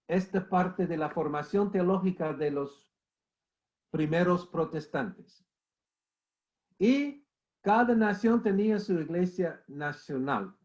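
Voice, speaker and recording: A man's voice: high-pitched, darkish tone. A middle-aged man with the flu giving an emphatic church history lecture, repeating key points and calling listeners brother. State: has the flu, coughing. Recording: muffled lo-fi recording.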